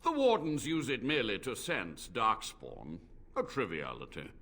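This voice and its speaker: An actor playing an ancient, unrepentant blood mage in a fantasy video game, speaking in a grave, formal, theatrical way. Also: male voice, mid-range voice, huge pitch swings, normal speed, a bit bright, heavily acted. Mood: proud, intense.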